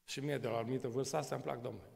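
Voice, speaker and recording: A man's voice: mid-range voice, steady tone, gravelly, a little mellow. A man in his sixties preaching a sermon from a church podium, talking conversationally with frequent rhetorical questions and touches of humor. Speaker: pastor. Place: large church hall. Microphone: podium microphone. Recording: long church reverb.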